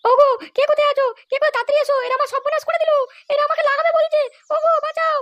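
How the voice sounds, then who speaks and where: An indignant young cartoon woman, voiced by a man, scolds and wails. The voice is piercingly high and very quick, with faint noise behind it. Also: little pitch movement, a bit bright. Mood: outraged. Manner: wailing, scolding. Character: shrill indignant young woman, easily outraged. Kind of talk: crude comedy cartoon dialogue.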